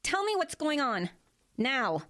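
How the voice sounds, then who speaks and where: A woman's voice: high voice, sing-song, slow pace, fairly bright tone, exaggerated voice. A woman acting a worried, exasperated wife in an audio drama, pleading and insistent in a natural way. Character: worried, exasperated wife who works with patients.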